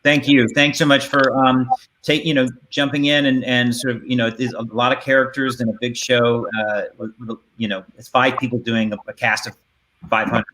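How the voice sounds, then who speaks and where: A middle-aged man with a mid-range voice hosts a casual panel over a video call. He talks in a halting way, circling back and rephrasing as he goes. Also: mid-range voice, flat delivery, pushed and bright, slight background noise, poor audio. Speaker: host and theater director. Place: indoor room on a video call. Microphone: video call microphone.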